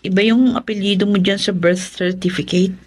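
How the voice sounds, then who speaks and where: An actress playing a frank, sceptical confidante in a Filipino radio drama, pointed and matter-of-fact. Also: female voice, medium pitch, fairly even intonation, moderate pace, breathy edge, close to an everyday voice. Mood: observant. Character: frank, sceptical woman confidante of aggrieved man.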